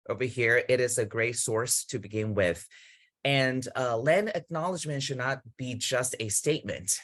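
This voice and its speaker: A man from Taiwan giving an earnest welcome and land acknowledgement over a video call, gently inviting others to share. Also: mid-range voice. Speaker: committee member.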